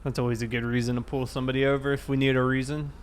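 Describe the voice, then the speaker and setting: Chill guy streaming a game, chatting away, normal pitch, car sounds behind him. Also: little pitch movement, very fast. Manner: easygoing, chatting to viewers. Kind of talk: casual live stream commentary while playing. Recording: clear background game vehicle noise. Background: game vehicle and ambience sounds.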